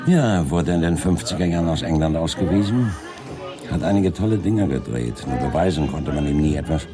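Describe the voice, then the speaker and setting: An actor playing a shrewd, seasoned male chief inspector in a 1980s German crime radio play, deliberate and dryly authoritative. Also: extremely low pitch, expressive. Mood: matter-of-fact. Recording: studio radio play with music behind.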